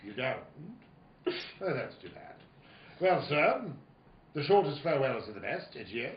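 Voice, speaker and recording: A man playing a genial, wordy, calculating gentleman villain in a theatrical old-time radio play, pompous and courtly. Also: medium pitch, very animated, a little slow. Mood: jovially courteous. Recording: roomy, dull, faintly noisy live recording.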